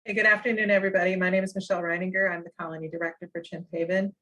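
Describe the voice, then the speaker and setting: Low-voiced woman, flat, hesitant. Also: racing, rough recording. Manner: hesitant, with many fillers. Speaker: sanctuary colony director. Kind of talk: self-introduction on a video call. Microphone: video call.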